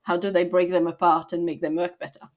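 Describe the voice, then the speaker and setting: Woman, low voice, thoughtful. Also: flat delivery, fast talker. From south of France. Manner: thoughtful, laughs easily, restarts sentences. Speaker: guest, people and operations leader. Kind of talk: podcast interview.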